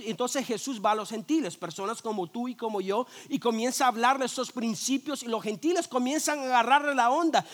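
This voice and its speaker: A man, a pastor, preaching a sermon in a lively, conversational way, full of rhetorical questions, jokes and quick restarts. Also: very high-pitched. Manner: frequent restarts and repeated words.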